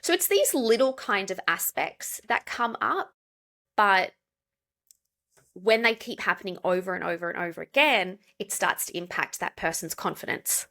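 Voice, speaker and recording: An Australian woman, a pronunciation coach, explaining clearly and steadily as a podcast guest. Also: medium pitch, animated, bright, forceful tone. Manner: explanatory, measured. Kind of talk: podcast interview.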